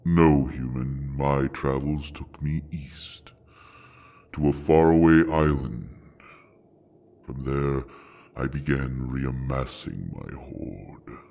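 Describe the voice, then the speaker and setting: A man's voice: very deep voice, fairly even intonation, slow pace, mellow, heavily acted. A man playing an ancient, imperious dragon in an audio drama, speaking in a grand, formal, menacing way. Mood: solemn, reflective. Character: ancient, imperious male dragon guarding a hoard of books.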